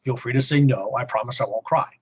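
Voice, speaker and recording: A man's voice: mid-range voice, quick pace, breathy edge. A man co-hosting a podcast, chatting casually and reading listener mail aloud. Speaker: podcast co-host.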